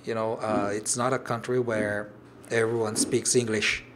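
A man's voice: lowish voice, level tone, normal speed, noisy background. A man in his thirties with a Brazilian Portuguese accent, Portuguese his native language, casually chatting on a home livestream and stopping often to search for English words. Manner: hesitant, restarts phrases while searching for English words. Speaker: origami designer hosting a livestream. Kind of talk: casual livestream chat reading viewer comments. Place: home room with shelves, indoors.

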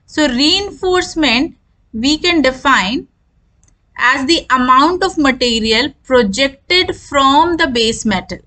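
Female lecturer, high-pitched and sharp, methodical technical explainer who restates key points. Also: animated, rough recording. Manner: repetitive, deliberate explaining.

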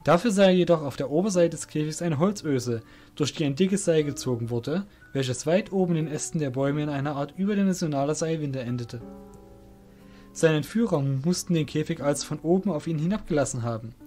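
A man's voice: on the high side, animated, a little fast, warm tone. Man narrating a fantasy audio drama, music behind.

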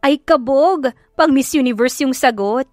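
A campy, wisecracking Filipino best friend, put on by a woman narrator in an audio love drama. The pitch jumps high with exaggerated sass, over background music. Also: measured pace, a little mellow, dry recording, studio quality. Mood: joking. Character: sassy, joking best friend with campy slang.

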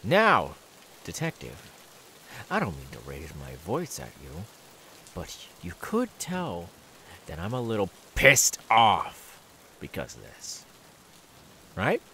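A man's voice: sits low, wildly swooping pitch, takes it slowly, vocal fry, long pauses, bright, forceful tone, a little hiss or hum, heavily acted. A man voicing a menacing, taunting killer simmering with anger in an ASMR audio roleplay, drawing out repeated words.

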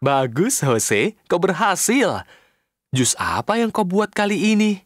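A man's voice: high-pitched, big pitch swings, takes it slowly, dry recording, studio quality, soft background music, exaggerated voice. A man voicing a friendly, reassuring helper in a children's cartoon, with an encouraging, swooping delivery.

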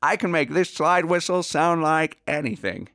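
A man's voice: on the high side, moderate pace, pushed and bright, no room sound, clean studio sound. An actor playing a genteel, self-serious veteran Hollywood sound-effects artist in a comedy mock interview, savouring his words pompously. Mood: proud, earnest.

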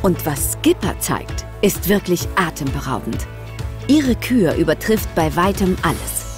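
A woman's voice: on the low side, very animated, a little slow, over music. A woman narrating a scripted children's audio story.

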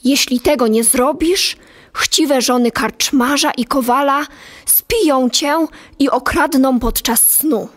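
Stable boy, played by a woman: young, high voice, unhurried, hushed and earnest audio-drama delivery. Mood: hushed warning. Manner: hushed, deliberate. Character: loyal young stable boy, respectful and secretive. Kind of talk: dramatic audio play acting.

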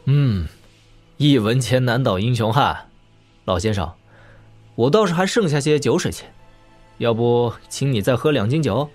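An actor playing a courteous, quietly confident young nobleman in an audio drama, polite, understated and wry. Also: medium pitch, lively intonation, unhurried, long pauses, faint music behind, natural voice. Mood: polite, modest.

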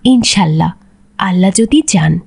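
A woman playing an ailing, devout mother with a weak, husky voice in a cartoon. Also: lowish voice, expressive, moderate pace, darkish tone, full character voice. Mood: weak, resigned. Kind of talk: Islamic cartoon dub acting.

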